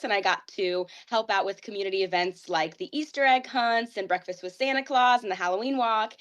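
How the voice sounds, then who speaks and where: A woman's voice: mid-range voice, dull audio. A young woman in her twenties from Ohio, a pageant titleholder telling her story in a casual interview, earnest and upbeat with a rambling flow. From Ohio, United States.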